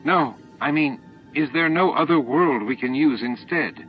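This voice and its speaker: Man voicing a pleading, reluctant alien councillor, raised pitch. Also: measured pace, lightly acted. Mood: anxious, pleading. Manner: hesitant, pleading, formal. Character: reluctant, pained male alien council member. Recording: dull lo-fi tape audio with music.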